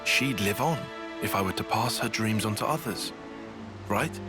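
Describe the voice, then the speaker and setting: A man's voice: lowish voice, moderate pace, over loud music, natural voice. A man playing a reserved, prickly, bookish young soldier in a video game cutscene, speaking precisely and formally. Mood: reflective.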